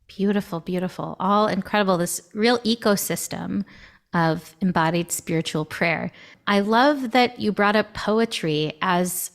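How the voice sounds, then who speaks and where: A woman's voice: medium pitch, measured pace, gravelly. A woman hosting a podcast, who praises her guest and frames long, appreciative questions. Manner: warm, appreciative, asks long framing questions. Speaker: podcast host. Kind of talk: interview questions.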